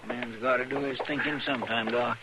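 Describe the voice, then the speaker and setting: An actor playing a simple, stubborn, worried frontier deputy with a folksy rural Western drawl in an old radio Western. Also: male voice, medium pitch, fairly even intonation, a little fast, natural voice. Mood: grumbling, pensive. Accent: American rural Western drawl. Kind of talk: 1950s radio Western acting. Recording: noisy, crackly old radio recording.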